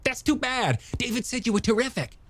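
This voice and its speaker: A man voicing an eager, chatty, self-deprecating younger man in an audiobook, with halting, animated delivery. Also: extremely high pitch, no echo, voice pushed up, exaggerated voice. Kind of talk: audiobook character dialogue.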